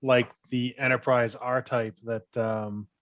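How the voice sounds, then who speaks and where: A man's voice: mid-range voice, level tone, slow pace, a bit bright, no room sound. A man co-hosting a podcast, talking casually in a panel chat about science fiction. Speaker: podcast co-host.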